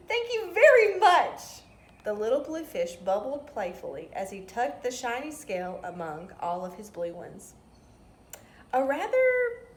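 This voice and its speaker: A woman reading a children's story aloud expressively, with simple teacherly asides. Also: medium pitch. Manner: expressive, explains words to children. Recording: roomy echo, some background noise.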